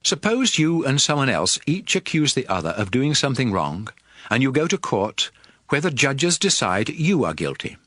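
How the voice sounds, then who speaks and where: A man narrating scripture in a measured, authoritative manner for a dramatized audio production. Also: mid-range voice, big pitch swings, fairly bright tone, dry recording, studio quality. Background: music.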